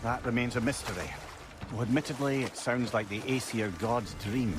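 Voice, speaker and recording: An actor playing a talkative, learned old counsellor in a video game, with an eloquent, theatrical way of talking. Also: male voice, mid-range voice, normal speed, background noise, close to an everyday voice. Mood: wry storytelling. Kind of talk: video game dramatic acting.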